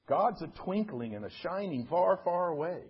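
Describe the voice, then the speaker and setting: Man acting arguing storybook nature creature, emphatic, declamatory, husky, lively pitch, muffled sound. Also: normal speed. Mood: insistent. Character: quarrelling animals and forces of nature, insistent.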